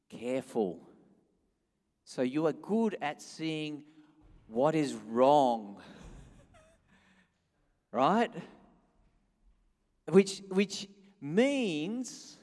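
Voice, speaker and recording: Male pastor, mid-pitched strong voice, emphatic and conversational preaching in a reverberant church hall. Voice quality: loud, strong voice. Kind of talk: sermon, informal and conversational. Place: church hall, amplified by sound system. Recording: echoey hall with long reverb.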